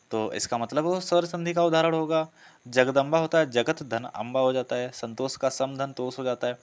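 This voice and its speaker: Man, medium pitch, coaching teacher, didactic, repetitive explanations, exam practice lesson. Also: smooth. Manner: didactic, repeats key phrases and asks himself questions. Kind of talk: test-prep lesson, working through questions.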